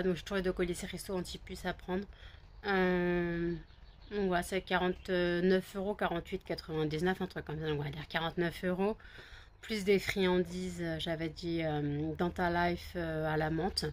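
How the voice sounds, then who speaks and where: A woman vlogger chatting casually to camera, rambling with many fillers and repeated words. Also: fairly low pitch. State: just woken up, groggy.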